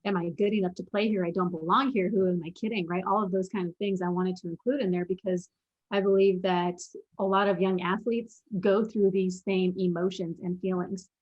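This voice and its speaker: A woman's voice: lowish voice, fairly even intonation, fairly quick, a little mellow, highs cut off. A young adult woman speaking as an interview guest, earnestly telling her story and quoting her own past thoughts. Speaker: guest, former pro basketball player and mental performance coach.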